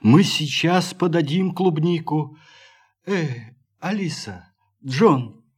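An actor playing a frail, sentimental ageing settler on Mars in a radio play, with halting, sighing, emotional speech. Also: male voice, on the high side, husky. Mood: glad, eager. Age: older. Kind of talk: Russian radio play acting. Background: music.

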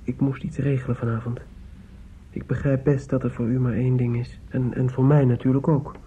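An actor playing an earnest, respectful young son in a radio drama, speaking hesitantly and deferentially. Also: male voice, medium pitch, level tone, brisk, warm tone, lightly acted. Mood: nervous, earnest. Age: young adult. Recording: muffled, noisy old radio play recording.

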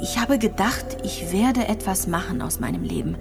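An actress playing a gravely ill, lonely young woman in a radio drama, speaking haltingly as she recalls things and repeats herself. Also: medium pitch, huge pitch swings. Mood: nostalgic. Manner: halting, searching, repeats phrases. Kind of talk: radio drama monologue, intimate recollection. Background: music.

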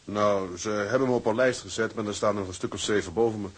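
A man's voice: lowish voice, steady tone, fast, husky. A man acting in a radio drama as an earnest, plain-spoken seaman out of work but hopeful. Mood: resigned, hopeful.

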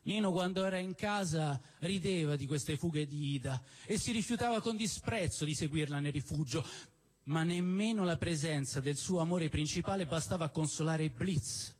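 A man reads a novel aloud on stage. His voice sits a little high for a man. He tells the story theatrically, leaning on key phrases and leaving deliberate pauses. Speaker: storyteller reading literary prose at a live show. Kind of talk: expressive dramatic reading of a novel. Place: live stage at a celebration event in a cinema venue.

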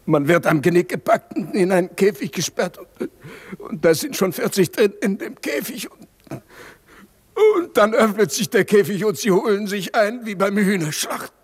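A man playing a mutilated, dying partisan in a radio drama, gasping out halting, broken fragments in horror. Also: high voice, expressive, breathy edge. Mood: agonised, horrified. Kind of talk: 1950s German radio drama acting.

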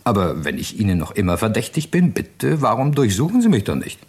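A suave gentleman secret agent in a radio crime play, husky and fairly low. His intonation swings widely while he stays urbane, unflustered and politely evasive. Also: big pitch swings, a little fast, full character voice. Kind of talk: German radio crime drama acting.

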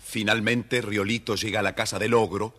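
A man with a husky voice, narrating a scripted children's story. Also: on the low side, level tone, normal speed, bright, forceful tone.

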